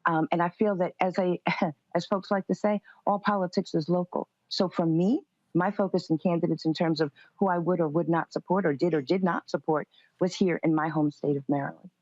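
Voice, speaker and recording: A woman interviewed as a political guest, answering in a guarded, firm way and deliberately repeating her points, on dull video-call audio. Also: on the low side, fairly even intonation, a little mellow, no room sound.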